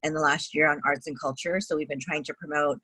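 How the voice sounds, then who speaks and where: A woman chatting casually on a video call, relaxed and rambling with fillers. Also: low-pitched, quick pace, no echo. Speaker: panelist. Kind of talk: casual chat.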